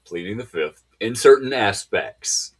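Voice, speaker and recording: A co-host in a casual live chat, making dry, deadpan remarks. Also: medium pitch, unhurried, husky.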